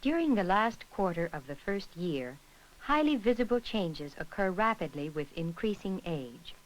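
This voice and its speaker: A woman reading scripted narration for an educational film in a measured, instructional way. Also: fairly low pitch, big pitch swings, low-quality recording.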